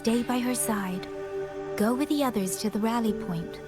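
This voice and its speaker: A woman's voice: on the high side, moderate pace, loud music behind the voice, natural voice. An actress playing a composed, resolute young female soldier in a game, earnest, polite and firm.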